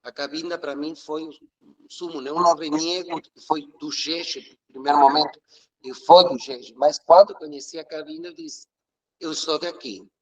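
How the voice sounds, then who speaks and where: A man's voice: a little high, steady tone, low-quality recording. A Uruguayan man, a native Spanish speaker with a Uruguayan accent, telling his religious life story in an earnest, reflective way as an interview guest. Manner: earnest, reflective, occasional halting restarts. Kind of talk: emotional personal storytelling.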